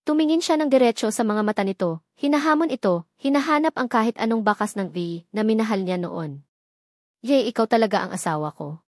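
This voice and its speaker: A woman narrating a dramatic love story with an even, dramatic storytelling delivery. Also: a little high, no echo, clean studio sound.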